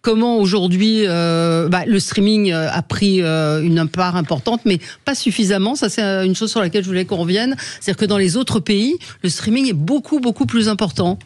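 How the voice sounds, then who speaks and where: A man's voice: high-pitched, moderate pace, few pauses, no echo. A man hosting a live radio talk show, chatty, reading out listener messages between guests.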